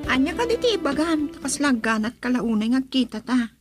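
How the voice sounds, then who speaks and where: A woman's voice: high-pitched, big pitch swings, moderate pace, over music. A woman with an Ilocano accent playing a hesitant young woman in love, a dutiful daughter in a radio drama. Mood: playful, coy.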